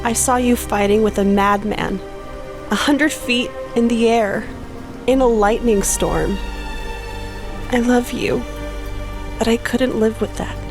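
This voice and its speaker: An anguished woman in love, played by an actress, speaking slowly and deliberately in halting, heartfelt phrases. It is dramatic audio drama delivery with music clearly underneath. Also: fairly high pitch. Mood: pleading, heartfelt.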